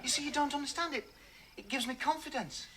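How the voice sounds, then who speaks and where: An actor playing a stubborn, proud middle-aged husband in a sitcom, wry and defensive. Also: male voice, extremely high pitch, quick pace, noisy background.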